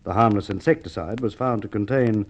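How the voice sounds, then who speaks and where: A man acting a courteous, methodical police inspector in an old radio crime drama, with polite, measured, probing speech. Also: lowish voice, natural voice. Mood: confident accusation. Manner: polite, measured, hesitant probing with formal phrasing. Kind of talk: Golden Age Australian radio drama acting. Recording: muffled, noisy old radio recording with clicks.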